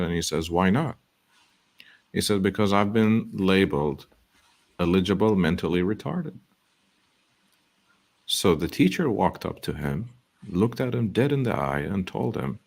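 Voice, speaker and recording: A man hosting a motivational talk show, speaking earnestly and slowing down to stress quotations. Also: low voice, gravelly. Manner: earnest, quotes sayings, slow emphasis.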